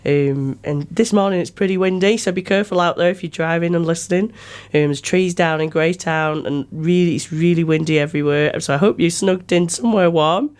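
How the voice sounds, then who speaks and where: A woman with a New Zealand accent and a slightly croaky voice from being sick, chatting informally as a radio show host. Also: fairly low pitch, fairly quick, hardly any pauses, a little mellow. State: getting over an illness. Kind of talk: casual chatty live radio interview. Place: radio studio. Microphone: studio microphone.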